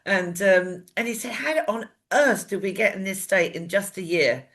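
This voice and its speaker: British woman doing casual opinion chat, medium pitch, chatty and emphatic. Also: moderate pace, a bit bright.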